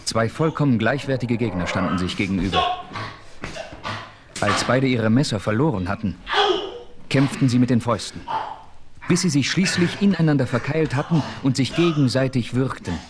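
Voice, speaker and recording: A man playing a calm, thoughtful frontier hero who narrates his western adventure in a radio play with measured, formal diction. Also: medium pitch, background noise, natural voice. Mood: measured narrating. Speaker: narrator and lead character.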